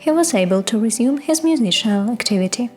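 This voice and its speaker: A woman giving measured, scripted documentary narration as the podcast narrator. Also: on the high side, lively intonation, a little mellow, soft background music. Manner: measured, informative delivery.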